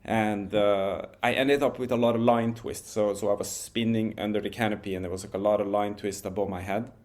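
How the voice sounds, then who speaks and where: A man, telling a story as a podcast guest in a relaxed, measured way with frequent restarts. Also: fairly low pitch. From Norway. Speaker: guest, wingsuit pilot.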